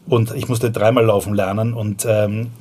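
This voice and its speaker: A man's voice: fairly low pitch, flat delivery, moderate pace, a little mellow, a little hiss or hum. Viennese man, loose slangy chat. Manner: loose and slangy, frequent fillers and self-restarts. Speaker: podcast guest, startup founder. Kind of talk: casual conversational storytelling.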